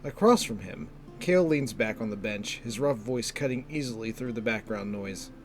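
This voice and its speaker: A man narrating a scripted fantasy story in a measured, descriptive storytelling manner. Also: fairly low pitch, animated. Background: music.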